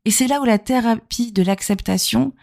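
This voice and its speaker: A woman's voice: fairly high pitch, normal speed, gravelly, a little mellow. A woman from Normandy, talking informally as a podcast host and therapist, explaining things conversationally and addressing the listener directly. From Normandy, France. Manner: conversational, explanatory, punctuated with rhetorical questions.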